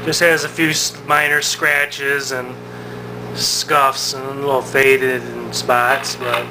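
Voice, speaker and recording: A man giving a casual, unscripted demonstration as a hobbyist, talking in a halting, matter-of-fact way. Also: medium pitch. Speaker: hobbyist showing his vintage radio-phonograph. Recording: noisy home recording with steady hum.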